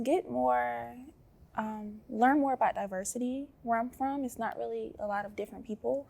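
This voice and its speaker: Gravelly young woman student. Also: fairly high pitch. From Albany, Georgia. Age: young adult. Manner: soft-spoken, earnest, many fillers. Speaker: journalism student guest. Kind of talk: casual interview.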